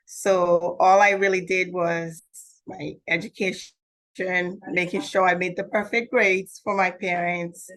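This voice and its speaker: A woman's voice: on the low side, fairly bright tone. A woman answering interview questions as a podcast guest in a reflective, candid, conversational way. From Nigeria.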